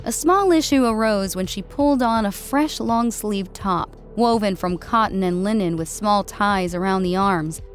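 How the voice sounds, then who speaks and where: A woman's voice: medium pitch, normal speed, few pauses. A woman reading scripted fiction as an audiobook narrator. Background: background music.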